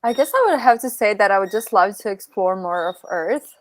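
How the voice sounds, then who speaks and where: A woman's voice: mid-range voice, animated, brisk. Thoughtful Croatian woman guest. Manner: thoughtful, lots of "like" fillers. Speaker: startup founder guest. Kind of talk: casual interview answers.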